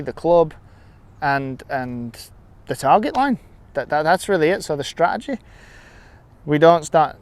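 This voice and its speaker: A man's voice: a little high, clean tone. A man giving step-by-step golf instruction outdoors, often repeating and rephrasing as he explains.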